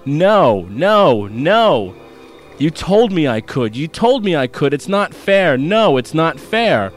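A man voicing an eager, hot-tempered ten-year-old boy who whines and shouts. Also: lowish voice, expressive, moderate pace, close to an everyday voice. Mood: furious. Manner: whiny, shouting tantrums.

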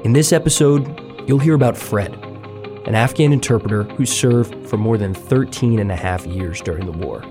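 Male podcast host, lower voice, measured scripted narration. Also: over music. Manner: measured, even documentary narration.

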